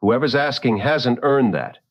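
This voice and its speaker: An actor playing a seasoned, protective late-night radio host in a drama, with a firm, wry and deliberate delivery. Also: male voice, medium pitch, no echo, lo-fi sound, lightly acted. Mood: wry, attentive.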